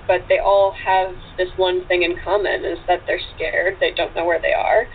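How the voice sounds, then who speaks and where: A woman's voice: lowish voice, level tone, normal speed. A woman talking casually as a guest in a remote group interview. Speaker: cast member being interviewed. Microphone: online call. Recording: tinny phone-like call with background noise.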